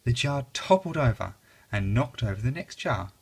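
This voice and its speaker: A reader narrating a children's story aloud in an expressive, dramatic way. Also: mid-range voice, moderate pace, slightly rough.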